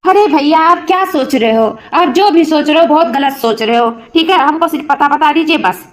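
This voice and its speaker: An extremely high cartoon voice for a polite, flustered male messenger, put on by a performer. He speaks, explaining himself in a courteous, pleading manner. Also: expressive, moderate pace. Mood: insistent. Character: polite, flustered male letter-delivering stranger. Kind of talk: comedic cartoon dialogue.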